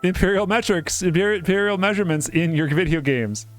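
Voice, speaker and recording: A man's voice: high-pitched, a little fast, soft background music. A man hosting a game playthrough, reading the text aloud and breaking off for sardonic, casual commentary.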